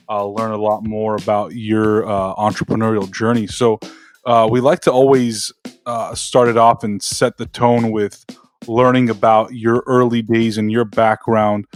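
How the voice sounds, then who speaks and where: A man's voice: fairly low pitch, level tone, normal speed, soft background music. A man co-hosting a podcast, opening the interview casually with frequent "uh"s. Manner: hesitant, frequent "uh"s. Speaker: podcast co-host.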